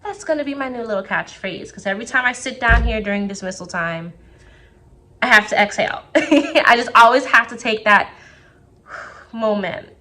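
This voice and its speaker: A young woman teacher talking casually to the camera from her classroom, her voice a little raspy as it recovers, chatty and full of quick asides. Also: on the high side, sharp tone. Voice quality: slightly raspy. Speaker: second grade teacher.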